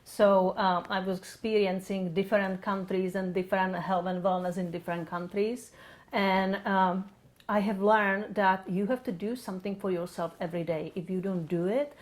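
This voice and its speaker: A woman's voice: medium pitch, fairly even intonation, normal speed. A middle-aged woman with a Czech accent, talking conversationally as an interview guest about her practice. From Czech Republic.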